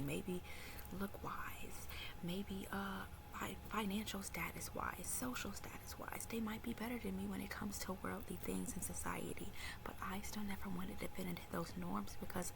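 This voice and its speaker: A young woman in her early twenties speaks mostly in a hushed whisper, soft-spoken and confiding. Her voice carries a gravelly fry. A clear hiss of background noise sits under her words. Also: mid-range voice. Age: early 20s. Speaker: vlogger. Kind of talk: personal faith story to camera.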